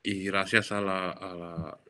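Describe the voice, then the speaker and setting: Low-voiced Cuban man, reflective. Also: little pitch movement, slow pace, bright, forceful tone, clear, resonant tone. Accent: Cuban Spanish. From Cuba. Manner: reflective, frequent restarts and repeated words. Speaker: photographer, interview guest. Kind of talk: conversational interview.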